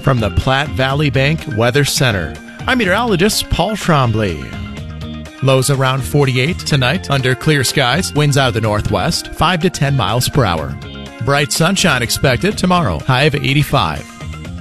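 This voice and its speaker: A man's voice: medium pitch, sing-song, normal speed, music in the background. A man reading a scripted weather forecast as a radio meteorologist.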